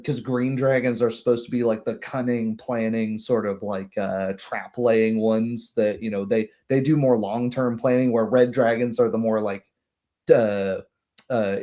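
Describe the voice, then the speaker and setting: A man's voice: medium pitch, darkish tone. A man chatting casually as a game master, talking in a rambling way and acting out imagined quotes as he goes. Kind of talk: casual chat about a tabletop game session.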